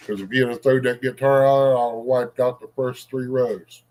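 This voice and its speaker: A man's voice: mid-range voice, fairly even intonation, a little mellow, poor audio. A man hosting a live chat stream, telling rambling stories with plenty of fillers. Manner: rambling storyteller, halting with fillers. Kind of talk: casual chat.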